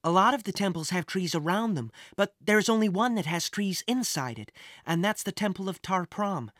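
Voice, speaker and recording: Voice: mid-range voice, lively intonation, normal speed, a bit bright, no echo, clean studio sound, voice pushed up, natural voice. A storyteller voicing an earnest, anxious young girl in an adventure audiobook, sincere and a little hesitant. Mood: helpful.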